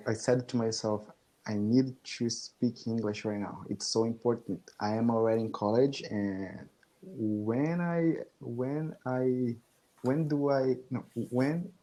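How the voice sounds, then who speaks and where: A man in his twenties who is learning English, speaking slowly on a video call with frequent restarts and small laughs. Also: mid-range voice, mellow, low-quality recording. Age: 20s. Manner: slow and halting, restarts often, laughs between phrases. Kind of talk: casual video-call chat. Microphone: video call headset or laptop mic.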